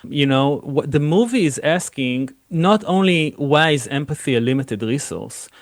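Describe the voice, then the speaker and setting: A man's voice: on the high side, expressive, moderate pace, dry recording. Israeli guy, film director being interviewed, earnest, lots of "you know", dry sound. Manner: earnest, fluent with frequent "you know" fillers. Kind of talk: interview answers.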